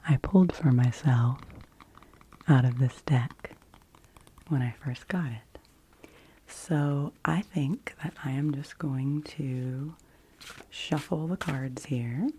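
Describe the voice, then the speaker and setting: A woman in her forties gently presenting in a hushed, partly whispered ASMR style, unhurried and close to the microphone. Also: rumbling low, slight background noise. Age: 40s. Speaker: ASMR host. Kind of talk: soft ASMR card reading, partly whispered.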